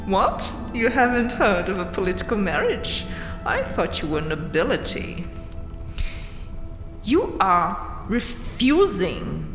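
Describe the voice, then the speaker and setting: A woman's voice: mid-range voice, sing-song, slow pace. A woman playing a haughty, mocking vampire queen in a dramatic audio roleplay, taunting with theatrical mock sweetness and seductive menace. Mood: gloating, then menacing. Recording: roomy, long hall-like reverb. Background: dark castle ambience music.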